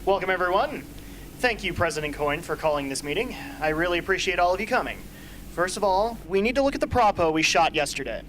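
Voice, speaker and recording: A woman playing a brisk, sardonic rebel strategist in an amateur radio drama, speaking emphatically. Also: on the low side, expressive, natural voice, poor audio. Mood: brisk, commanding.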